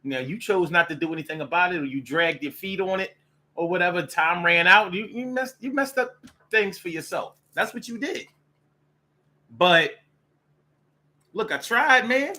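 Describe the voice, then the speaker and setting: A man's voice: high voice, moderate pace, long pauses, bright, forceful tone. An American man giving a casual, opinionated solo monologue in streetwise slang, repeating phrases for emphasis. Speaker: solo show host.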